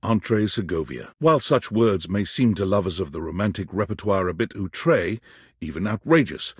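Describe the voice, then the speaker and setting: A man's voice: sits low, lively intonation, moderate pace, husky, dry recording, clean studio sound. A man playing an erudite, theatrical narrator in a whimsical game, delivering florid scripted lines with precise wording. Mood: grandiloquent, dramatic.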